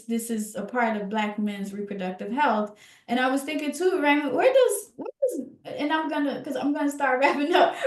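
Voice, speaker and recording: A woman co-hosting a scholarly podcast, talking reflectively and emphatically in a conversational way, often halting and repeating words for emphasis. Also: a little high, roomy sound.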